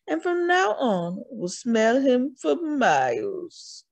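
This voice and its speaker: A woman voicing a gruff, bossy male ram in a storybook, bellowing commands. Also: sits high, wildly swooping pitch, slow pace, dry recording, voice pushed up, full character voice. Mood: triumphant.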